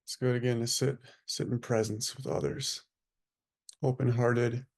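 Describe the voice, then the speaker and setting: A man guides a meditation in a very slow, even voice with a gravelly creak. He speaks in short, hushed phrases and leaves long pauses between them, unhurried throughout. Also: medium pitch. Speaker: meditation teacher leading a group.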